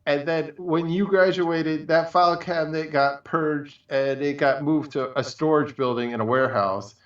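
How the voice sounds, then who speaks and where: A man's voice: a little high, normal speed, fairly bright tone. A man co-hosting a casual chat, talking in a rambling, colloquial way and piling up examples. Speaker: show co-host. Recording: muffled, echoey, distant from mic.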